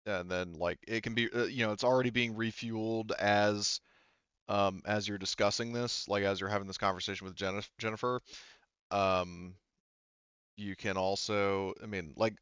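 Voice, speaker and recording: A man's voice: fairly low pitch, fairly bright tone, clean tone, no room sound, muffled recording. A man talking casually as game master in a tabletop roleplaying session, explaining things in a hedging, conversational way.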